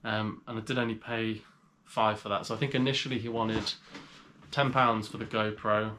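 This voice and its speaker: A man with a cold and a slightly nasal voice, chatting casually in a vlog as he shows items to the camera. He rambles in a relaxed way with frequent pauses. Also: fairly low pitch, a little hiss or hum. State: has a cold. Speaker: reseller vlogger.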